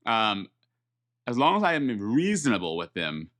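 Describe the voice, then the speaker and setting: A man, an airline pilot, talking casually and explaining in detail, step by step, with halting phrasing. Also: mid-range voice, big pitch swings, fairly bright tone. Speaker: airline pilot, first officer.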